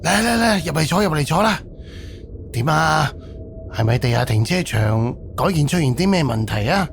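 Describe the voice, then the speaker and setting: A man's voice: fairly high pitch, animated, moderate pace, vocal fry, slight background noise. A man acting a grieving, hospitable middle-aged amusement park director in a Cantonese audio drama. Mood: welcoming. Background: background music.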